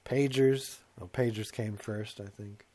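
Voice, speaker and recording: A man's voice: on the low side, fairly even intonation, unhurried, mellow. A middle-aged man co-hosting a talk show in casual comic chat, rambling and self-mocking, slipping into put-on voices to quote himself.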